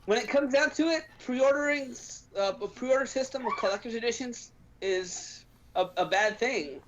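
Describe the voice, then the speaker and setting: Casual gaming show host, emphatic ranter with an average-pitched voice, muffled lo-fi recording. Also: moderate pace, noisy background. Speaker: podcast host. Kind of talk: casual gaming news discussion. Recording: dull lo-fi stream audio.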